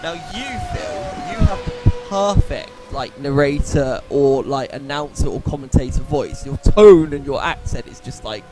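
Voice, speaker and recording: A man with a high, lively voice calls a sim race, a little nasal and posh in sound, chatting through racing lines as he goes. Loud engine roar and music sit under his voice, and his pitch swings up and down freely. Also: unhurried, few pauses. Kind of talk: live race commentary.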